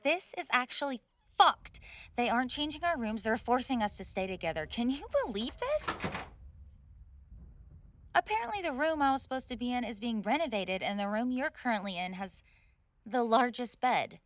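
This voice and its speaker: A woman's voice: a little high, expressive, moderate pace, fairly bright tone, slight background noise. A woman playing a spoiled rich college bully in a roleplay audio drama, indignant, snobbish and sweary, stammering when flustered. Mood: outraged. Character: haughty, spoiled rich college girl bully, hot-tempered tsundere. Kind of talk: scripted roleplay audio drama, one side of a dialogue.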